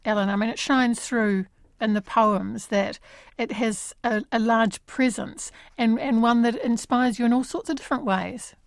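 A woman's voice: on the high side, moderate pace, dry recording, clean studio sound. A woman, hosting a radio arts interview and asking conversational questions.

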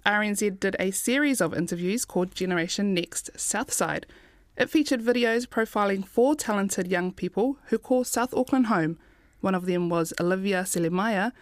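A woman's voice: mid-range voice, animated, moderate pace, no room sound, studio quality. A woman reading scripted links as a radio programme host. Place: dry studio.

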